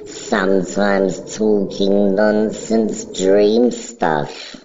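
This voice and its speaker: A man giving solemn, deliberate scripted narration for a dramatic story. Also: on the high side, vocal fry, warm tone, dull audio, slight background noise.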